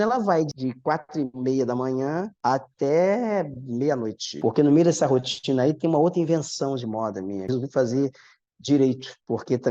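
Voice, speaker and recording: A Brazilian man in his forties tells his career story in long, animated run-on stretches. His voice is mellow and dark, and the recording sounds a little dull and lo-fi. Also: mid-range voice. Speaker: journalist guest. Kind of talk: casual interview storytelling.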